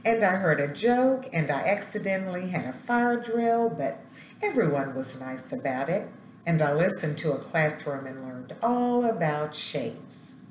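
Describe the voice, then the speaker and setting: A woman's voice: low voice, expressive, measured pace. A woman voicing a friendly, naive, male school building from a storybook with earnest, childlike wonder. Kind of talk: storybook character voice. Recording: roomy echo, light background noise.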